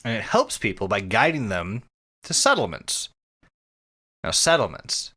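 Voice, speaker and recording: A man's voice: fairly low pitch, huge pitch swings, a little slow, bright, forceful tone, husky. A man co-hosting a casual comedy podcast, joking and swearing freely as he talks. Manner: profane, joking. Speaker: podcast co-host.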